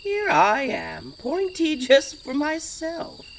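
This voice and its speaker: A woman playing a solitary witch in a dark fairy tale audiobook, theatrical and drawn out, scolding herself and fond of her familiar. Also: high voice, wildly swooping pitch, slow pace, a little hiss or hum, heavily acted. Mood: self-reproaching.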